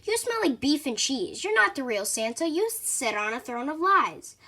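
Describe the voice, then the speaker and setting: A woman voicing an earnest, excitable, childlike man raised by elves in a storybook, speaking in heartfelt exclamations. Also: high-pitched, normal speed, full character voice. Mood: accusing.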